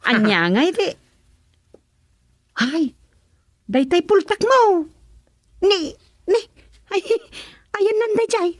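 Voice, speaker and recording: A woman's voice: very high-pitched, sing-song, takes it slowly, pauses often, no echo, clean studio sound, heavily acted. A woman acting a giggly, teasing young village daughter with an Ilocano accent in a radio comedy drama. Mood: amused.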